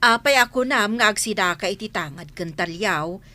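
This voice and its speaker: A woman's voice: mid-range voice, expressive, moderate pace, pushed and bright. A woman playing a blunt, scolding village gossip in an Ilocano comedy radio drama, questioning and emphatic. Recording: faint background noise.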